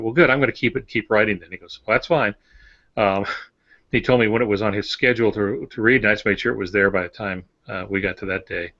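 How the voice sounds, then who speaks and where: Older man, lowish voice, plain-spoken author. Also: a bit bright. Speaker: author on a podcast panel. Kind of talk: casual anecdotal chat. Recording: dull, muffled lo-fi audio.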